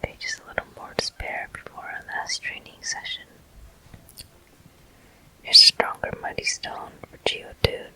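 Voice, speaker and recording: A person whispering soft, breathy, unhurried commentary in short phrases while playing a video game for ASMR viewers. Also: rough recording. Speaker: video game player and host.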